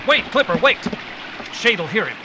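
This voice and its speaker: A man's voice: high voice, very animated, moderate pace. A man playing a brave, commanding hero in a 1940s-style radio adventure serial. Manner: decisive, commanding. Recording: noisy live stage performance.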